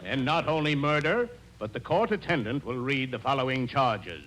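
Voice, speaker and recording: An actor playing a stern, officious judge in an old radio comedy, speaking formally and with authority. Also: male voice, mid-range voice, animated, moderate pace, fairly bright tone, lightly acted. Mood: stern, official. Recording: noisy old radio show recording.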